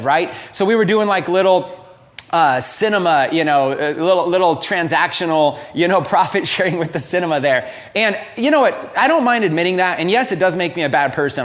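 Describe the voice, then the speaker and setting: A man in his late thirties giving a candid, unscripted motivational talk, openly confessing his past to viewers. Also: high-pitched. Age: 30s. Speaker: entrepreneur and coach.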